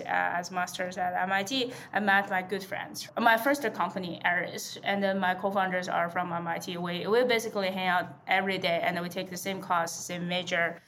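A woman's voice: mid-range voice, fairly bright tone, slight background noise. A woman from China with a Chinese accent, answering conversationally as a founder being interviewed, in an informal way. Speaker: startup founder, interview guest.

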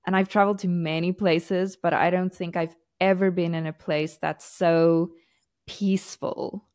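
A woman's voice: lowish voice, little pitch movement, a little slow, a little mellow. A woman from South Africa with a South African accent tinged with American, co-hosting a casual podcast chat and laughing easily. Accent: South African, partly American. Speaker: podcast co-host.

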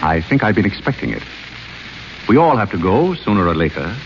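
An actor playing a polite, clever butler in a 1930s radio play, suave and understated. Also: male voice, lowish voice, very animated, a little fast. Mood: composed. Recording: muffled old radio broadcast with faint hiss.

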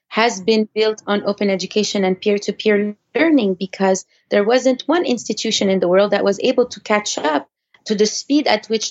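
A woman's voice: mid-range voice, a little mellow. Dull-sounding woman, native French speaker, in interview. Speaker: interview guest, activist technologist. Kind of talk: conversational interview explanation.

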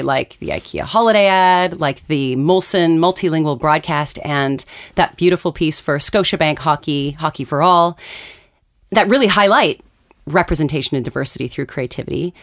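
A woman's voice: deep voice, no echo, studio quality. A woman hosting a podcast, reading a scripted intro and then asking interview questions in an upbeat way. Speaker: podcast host.

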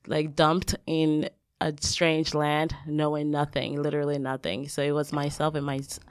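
A woman from Liberia with a low, smooth, clear voice tells her story as a podcast guest. She sounds earnest and reflective, breaking into light laughs now and then. The sound is dry and close. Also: completely flat delivery, normal speed, no room sound. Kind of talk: conversational storytelling.

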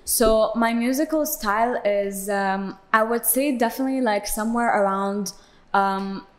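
A woman's voice: fairly high pitch, moderate pace. A woman of twenty from Belgium, a singer chatting casually as a guest in an interview, leaning on "like" as filler. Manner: relaxed, chatty, leans on "like" as filler.

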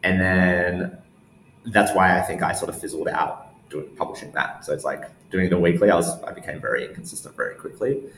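A man's voice: sits low, a little fast, creaky, fairly bright tone. A man giving casual interview answers in a hesitant, meandering way, with lots of "like". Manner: hesitant, meandering, heavy use of "like". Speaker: interview guest, newsletter founder. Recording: roomy echo with faint background noise.

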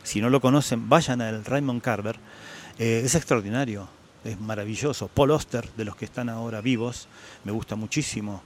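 A man's voice: mid-range voice, normal speed, a little hiss or hum. A man in his sixties with an Argentine accent, a guest writer talking about his favorite authors at a book fair, reflective, repeating words for emphasis, with many hesitation sounds. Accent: Argentine Spanish. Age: 60s.

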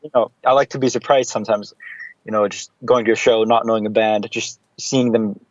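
A man's voice: fairly low pitch, fairly even intonation, a little fast, no room sound. Rambling man with slightly dull audio. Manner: rambling, many fillers and restarts, casual. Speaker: podcast guest. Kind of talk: casual podcast chat.

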